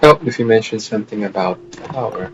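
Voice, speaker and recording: A man's voice: fairly low pitch, fairly quick, muffled recording, over music. A man live streaming a game, making casual, offhand comments as he plays.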